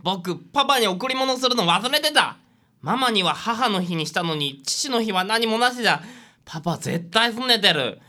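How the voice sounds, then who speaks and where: A woman's voice: mid-range voice, animated, normal speed, sharp tone. A woman playing a vain, flighty, spoiled young male sidekick in a dramatic radio drama style. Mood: panicky.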